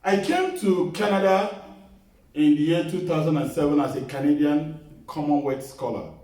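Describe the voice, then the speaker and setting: A middle-aged man preaching a sermon in a small church, earnest and measured, repeating his key phrases as he tells a personal testimony. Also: a little high, animated, darkish tone. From Nigeria. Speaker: guest preacher. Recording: echoey hall, voice picked up from a distance.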